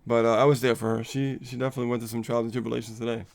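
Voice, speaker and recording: A man's voice: mid-range voice, little pitch movement, very fast, gravelly, a little mellow. A man co-hosting a casual live coaching stream, upbeat and motivational, laughing often and reading viewer comments aloud.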